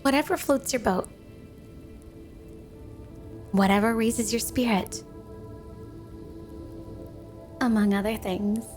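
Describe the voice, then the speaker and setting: Woman voicing a yandere snow woman, highish pitch, coy, affectionate, clingy, ASMR roleplay, faint music underneath. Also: a little mellow, natural voice. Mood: flirty, coy. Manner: sugary, coy, affectionate, unhurried. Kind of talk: ASMR romantic roleplay, addressed to the listener.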